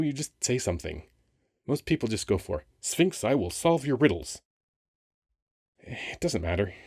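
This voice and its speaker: A man playing a flirty, wry, weary sphinx who guards a temple, talking casually and haltingly in an ASMR roleplay. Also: low-pitched, darkish tone, no echo, studio quality. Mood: flustered, explaining.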